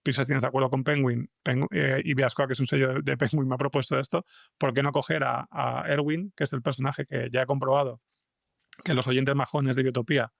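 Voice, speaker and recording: A man's voice: medium pitch, level tone, a little fast, gravelly, dry recording, studio quality. A man with a Spain Spanish accent chatting as an interview guest, hesitant and rambling, often repeating words and stretching fillers. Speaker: guest, fiction podcast scriptwriter and comics artist. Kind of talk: casual interview conversation.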